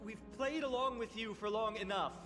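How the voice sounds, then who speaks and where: A man's voice: high voice, moderate pace, lightly acted. An actor playing an earnest young male warrior hero in a video game. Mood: resolute. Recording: long reverb, music behind. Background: game music.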